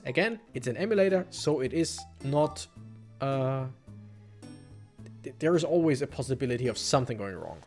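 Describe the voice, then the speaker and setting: A woman giving casual let's play commentary, thinking aloud in a halting, rambling way. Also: sits low, a little mellow. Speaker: let's player commentating a video game.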